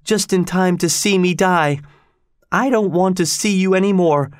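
A man's voice: a little high, moderate pace, darkish tone, no room sound, clean studio sound, voice pushed up, lightly acted. A man voicing a dying young woman, bitter and passionate, who speaks in a plaintive, dramatic way for a storybook. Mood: bitter, anguished.

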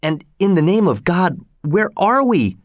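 A man voicing a young Hawaiian man, bewildered and curious, with a high, rising, wondering voice. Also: expressive, unhurried, a little mellow, no echo, studio quality. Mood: alarmed.

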